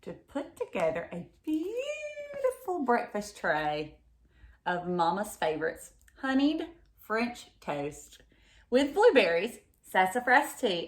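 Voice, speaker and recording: A woman reads a picture book aloud for children in a very lively, unhurried way. She speaks expressively and turns to her listeners with asides, in a room with noticeable echo. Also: medium pitch. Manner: expressive, exclaiming, asks listeners questions. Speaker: read-aloud storyteller.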